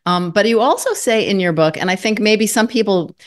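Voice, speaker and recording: A woman's voice: mid-range voice, brisk. A woman hosting an interview, asking questions and praising her guest with enthusiasm. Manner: enthusiastic, effusive. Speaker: host. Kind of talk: interview podcast chat.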